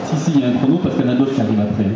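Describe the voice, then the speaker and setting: Fast-talking male event host in a hall. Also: medium pitch, background noise. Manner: upbeat, quick, flattering crowd-warming patter. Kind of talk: live stage hosting. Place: indoor fair hall with a crowd. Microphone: handheld microphone. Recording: distant, echoey, muffled hall recording. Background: crowd chatter.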